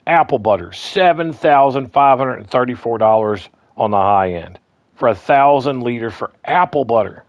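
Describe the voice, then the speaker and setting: A man with a slightly hoarse voice walking through his game farm in a casual, rambling way, full of ums and restarts. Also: medium pitch, animated, moderate pace, dry recording. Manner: rambling, repeats himself, lots of ums and restarts. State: slightly hoarse, clearing throat. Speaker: gaming guide host. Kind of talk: casual gameplay walkthrough.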